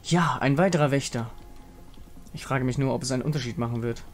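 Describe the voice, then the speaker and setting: A man's voice: medium pitch, quick pace, faint music behind, close to an everyday voice. A man voicing a downcast, weary female sentinel in a fantasy game, subdued and dry. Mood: dejected.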